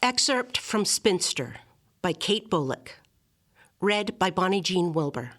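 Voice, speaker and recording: A woman reading a literary memoir aloud as scripted narration, with measured, articulate and expressive phrasing. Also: on the low side. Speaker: reader of a literary memoir excerpt.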